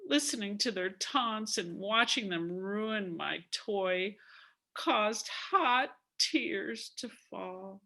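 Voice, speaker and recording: A woman in her sixties telling a story theatrically, with deliberate, dramatic emphasis. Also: mid-range voice, animated, sharp tone. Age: 60s. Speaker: storyteller at a speaking club.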